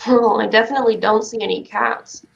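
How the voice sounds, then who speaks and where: A woman's voice: a little high, fairly even intonation, brisk, gravelly, lo-fi sound, a little hiss or hum. A woman playing a stubborn, dreamy child who plays astronaut, in a staged play reading. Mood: dreamy, musing.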